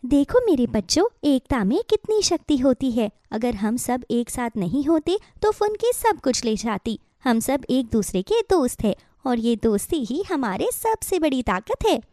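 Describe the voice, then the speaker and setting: A woman's voice: high voice, very animated, unhurried, hardly any pauses, warm tone, no echo, studio quality. A woman voicing a kindly mother bird in a children's cartoon, encouraging and earnest. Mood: tender, encouraging.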